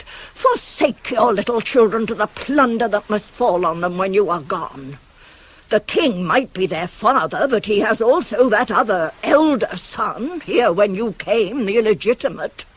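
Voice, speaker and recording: A woman's voice: mid-range voice, breathy edge. An actress playing a devoted, worldly-wise older nurse to a queen in a radio Greek tragedy, theatrical and insistent. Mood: bitter scolding. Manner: theatrical, insistent, measured, weighty phrasing. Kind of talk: 1980s radio drama acting of Greek tragedy.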